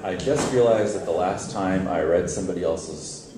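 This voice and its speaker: A man's voice: sits low, normal speed. A man reading a first-person story aloud on stage, in a wry, conversational way with occasional stumbles. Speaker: reader at a literary event. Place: live reading event, on stage. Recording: very echoey hall, distant mic, faint noise.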